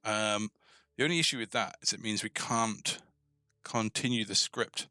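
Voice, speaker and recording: Low, bright-voiced man thinking aloud while coding. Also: dry recording, studio quality. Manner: informal, tentative, pauses mid-thought as he works. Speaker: host of a coding tutorial stream. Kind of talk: casual live coding walkthrough, thinking aloud.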